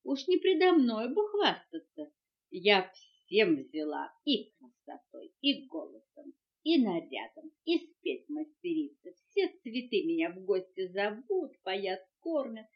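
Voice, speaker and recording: Woman playing a vain, preening storybook wasp, very animated. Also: medium pitch, slow. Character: vain, showy female wasp, proud of her finery. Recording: muffled home cassette recording.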